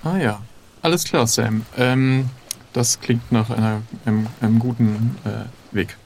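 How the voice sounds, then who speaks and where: A man's voice: mid-range voice, fairly even intonation, unhurried, vocal fry, a little mellow, a little hiss or hum. A man playing an improvised adventure game, speaking casually, politely and with hesitation. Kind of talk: casual improvised role-play.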